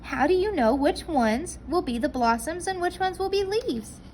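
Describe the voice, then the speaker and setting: A woman's voice: very high-pitched, lively intonation, moderate pace, darkish tone, a little hiss or hum, voice pushed up. A woman reading a picture book aloud, voicing a small, curious young girl mouse in a light, wondering tone.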